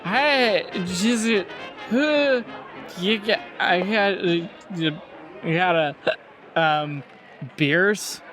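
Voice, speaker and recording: Man putting on a high-pitched slurring drunk bar patron. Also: very slow, pauses often, a bit bright, faint music behind, heavily acted. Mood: slurring, confused. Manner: slurred, stumbling, drunken rambling. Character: rowdy drunken male bar patron begging for whiskey. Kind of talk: improvised tabletop game character.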